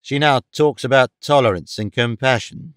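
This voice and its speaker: A man voicing a gruff, domineering, bigoted father in an audiobook, curt and commanding. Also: medium pitch, moderate pace, fairly bright tone, no room sound, lightly acted. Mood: angry, scornful. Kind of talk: audiobook character dialogue.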